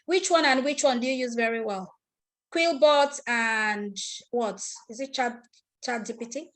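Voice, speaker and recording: A Nigerian woman with a somewhat high voice leads a live online tutorial over a computer mic. She talks through each step in turn, repeats key points and breaks off to ask attendees questions. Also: a little slow, a bit bright. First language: Igbo. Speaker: online class instructor. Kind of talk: live screen-share tutorial.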